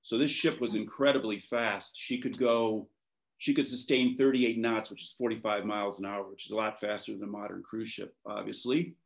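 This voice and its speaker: A man's voice: lowish voice, fairly even intonation, normal speed, vocal fry. A man in his fifties with an American accent, chatting casually as an interview guest on a video call. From born in France to American parents, raised between Europe, Washington and West Africa. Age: 50s. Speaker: interview guest, maritime professional. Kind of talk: casual reminiscing in a video conversation. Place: wood-beamed room at home, indoors.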